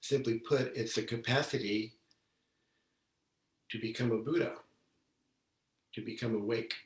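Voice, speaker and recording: A man giving a measured Zen dharma talk as a teacher, speaking haltingly and deliberately with long pauses. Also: medium pitch, fairly even intonation. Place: indoor room, talk given over video call. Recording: roomy, echoey, distant microphone on video call.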